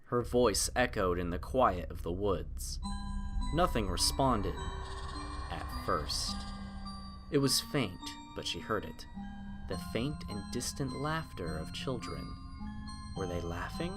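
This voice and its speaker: A man's voice: fairly low pitch, lively intonation, takes it slowly. A man narrating a scripted horror story in a dramatic, suspenseful way. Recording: music clearly behind the voice.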